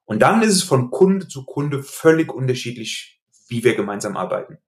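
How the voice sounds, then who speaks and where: A man's voice: medium pitch, sing-song, normal speed. Man, Hessian accent, middle-aged, earnest, explains by examples, podcast guest. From Hesse, Germany. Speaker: podcast guest, IT security coach. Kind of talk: casual podcast conversation.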